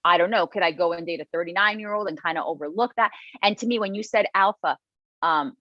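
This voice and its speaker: A woman's voice: lowish voice, fast talker, no room sound. Female guest, 40s, frank and emphatic, dry lo-fi sound. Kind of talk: casual chat.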